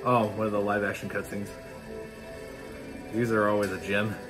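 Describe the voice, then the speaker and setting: A man's voice: lowish voice, little pitch movement, moderate pace. A man in his thirties making amused, mocking commentary in a casual reaction video, recorded indoors. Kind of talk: casual reaction commentary over game scenes. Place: indoor room with bookshelves. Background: game audio and music.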